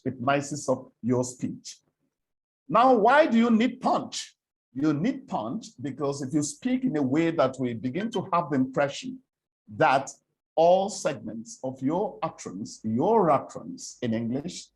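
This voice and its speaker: A man from Nigeria with an Igbo accent, lecturing on English speech for presenters in a deliberate way, repeating words and giving examples. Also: a little high, big pitch swings, poor audio. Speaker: presentation coach. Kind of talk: spoken lecture on English diction.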